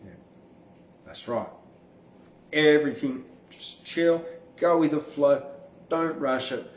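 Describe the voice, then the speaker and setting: A man performs spoken verse in a slow, deliberate way. His manner is informal and blunt, dropping into casual asides between lines. The sound is roomy, with a noticeable echo around the voice. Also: fairly high pitch, recorded from a distance. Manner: informal, blunt, freely swearing. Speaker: podcast host and poet reading own verse. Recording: roomy, echoing room sound.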